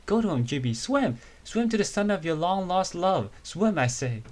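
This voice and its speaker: A man voicing a cocky, theatrical joker who talks in a mock-heroic way, in a higher put-on voice. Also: very animated, moderate pace, darkish tone.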